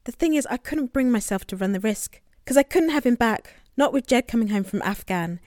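A woman's voice: a little high, expressive, a little fast, a little mellow, no room sound, lightly acted. Woman acting guilt-ridden sister, close dry sound. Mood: guilty, defensive. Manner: confessional, self-justifying. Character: guilt-ridden, troubled older sister of homeless boy. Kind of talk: naturalistic audio drama monologue.

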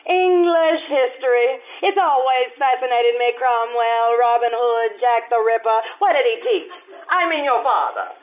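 A woman's voice: fairly high pitch, measured pace, natural voice. A woman playing a haughty young society bride, tinny sound. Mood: gushing, airy. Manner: gushing, mock-polite, airy. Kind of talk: old-time radio stage acting. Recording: tinny phone-like radio-play recording.

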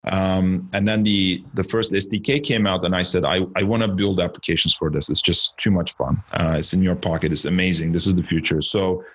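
A man from Turkey, around his forties, talks through his career as a podcast guest. His voice sits very low and deep. He thinks aloud and circles back on his own phrases. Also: a bit bright. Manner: reflective, storytelling, with frequent self-corrections. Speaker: guest, startup founder. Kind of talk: conversational interview.

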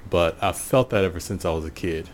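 An American man giving a casual, reflective solo monologue in an unhurried, musing way, often pausing mid-sentence. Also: low voice, slight background noise. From Portland, USA. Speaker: podcast host.

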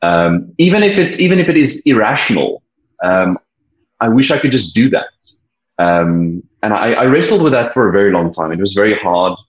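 A young South African man whose first language is Afrikaans, sharing his story earnestly, with frequent halting restarts. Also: lowish voice, big pitch swings, slightly rough. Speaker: interview guest. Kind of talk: personal testimony, reflective. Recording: dull lo-fi sound.

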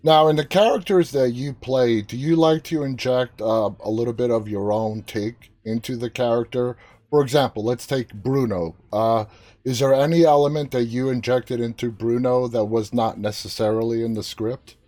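A man's voice: mid-range voice, steady tone, normal speed, mellow. A man hosting a live interview, asking questions in a casual, conversational style. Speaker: interview host.

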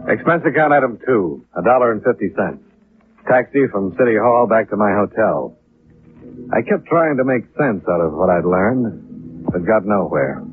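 Tinny old-radio actor as dry American investigator. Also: male voice, lowish voice, animated, normal speed, a little hiss or hum, lightly acted. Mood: wry, matter-of-fact. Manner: dry, probing, clipped questioning. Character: tough, skeptical insurance investigator, a grown man. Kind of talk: 1950s radio detective drama acting. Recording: tinny, muffled 1950s radio recording.

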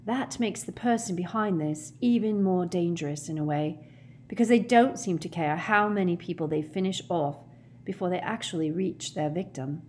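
A woman voicing a brainy, earnest teenage girl who reasons quietly and precisely in a storybook. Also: mid-range voice, animated, measured pace, a little hiss or hum, pitched above the performer's own voice, close to an everyday voice. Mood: brooding.

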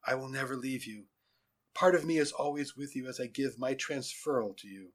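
A man voicing a tender, solemn dying father eagle, speaking in a hushed near-whisper. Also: mid-range voice, moderate pace, close to an everyday voice. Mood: tender, fading.

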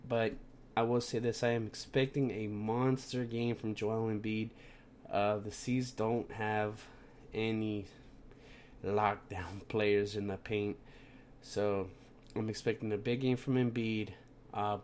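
A young man with a fairly low voice talks through his basketball picks in a loose, rambling podcast style, full of "like" and "uh". He is stuffed up with a cold, so his voice comes out congested. A faint hiss sits under the recording. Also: darkish tone. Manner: rambling, filler-heavy, restarts sentences. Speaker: podcast host. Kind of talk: casual sports picks podcast.

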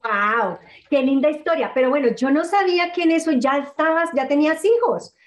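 A woman hosts an interview with lively enthusiasm, firing off several questions in a row. Her voice sits high in pitch. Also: moderate pace. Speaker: host. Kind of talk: conversational interview.

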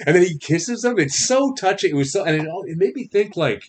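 A man's voice: high-pitched, brisk, a little hiss or hum. A man hosting a podcast, talking casually and telling stories with lots of restarts and "you know". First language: not French. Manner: animated storyteller, frequent restarts and "you know". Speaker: podcast host. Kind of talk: casual conversation.

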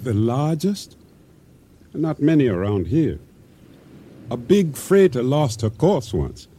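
Wary islander, swooping mellow voice. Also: male voice, mid-range voice, takes it slowly, breathy edge, heavily acted. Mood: skeptical, puzzled. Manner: questioning, expressive, formally phrased speech. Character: cautious, law-abiding male island provisioner, wary but temptable. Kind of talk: American radio drama acting, 1980.

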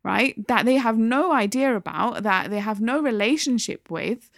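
A woman's voice: on the high side, moderate pace, creaky, no room sound, studio quality. A British woman in her thirties co-hosting a podcast, speaking in a direct, impassioned way. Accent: British English. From Britain. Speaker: podcast co-host. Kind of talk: casual podcast discussion.

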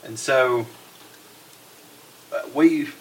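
A man hosting a podcast, chatting casually with guests and using many fillers. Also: mid-range voice. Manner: friendly, halting with fillers. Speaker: podcast host. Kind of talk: casual interview chat.

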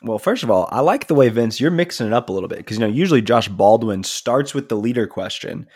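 A man's voice: mid-range voice, animated, fast, darkish tone, slightly rough, dry recording, clean studio sound. A man co-hosting a casual podcast, sounding nasal from a stuffy nose, upbeat and easygoing. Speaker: podcast co-host, student ministry leader.

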